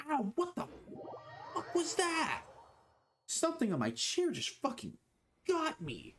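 A man's voice: very high voice, huge pitch swings, takes it slowly, a bit bright, slight background noise. Profane young man streaming a game. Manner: profane, joking, mumbles to himself. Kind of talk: casual gaming commentary. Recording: game music underneath.

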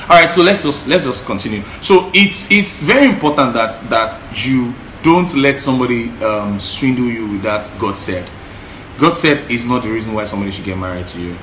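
A man's voice: medium pitch, expressive, a little fast, a bit bright. Yoruba-accented man in his 30s, earnest co-host giving emphatic advice from a roomy living room, mid-range voice. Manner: earnest, emphatic, repeats himself. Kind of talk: casual relationship advice chat. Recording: echoey room, faint hiss.

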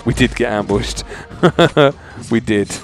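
A man's voice: mid-range voice, slow. A man hosting a gaming channel, chatting casually and in exasperation to his viewers as he comments on his play. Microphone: voice-over over mobile game footage.

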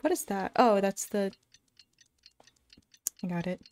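A woman's voice: medium pitch, lively intonation, slow, a little mellow, slight background noise. A young adult woman giving casual playthrough commentary as a game streamer. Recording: faint game music behind the voice. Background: video game music.

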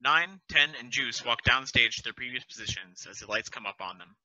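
A man's voice: mid-range voice, expressive, brisk, pushed and bright, dry recording, muffled recording. A man reading stage directions in a matter-of-fact way on a headset during a video-call table read.

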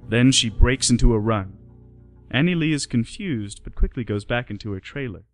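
A man's voice: mid-range voice, expressive, moderate pace, soft background music, rough recording. A man narrating a radio play in scripted storytelling style.

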